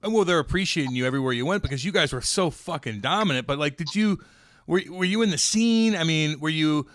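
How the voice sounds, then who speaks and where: A brash, joking man co-hosts a podcast and swears freely as he talks. His voice sits a bit high. The sound is dry and close, with no room echo. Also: animated, brisk, fairly bright tone. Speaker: podcast co-host. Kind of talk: casual banter.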